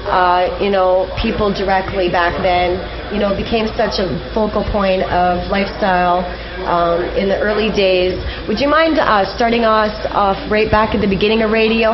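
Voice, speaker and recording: A woman hosting a casual radio interview in a chatty, conversational way. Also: medium pitch. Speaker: radio show host. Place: live radio lounge with people around. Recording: muffled, noisy live room sound.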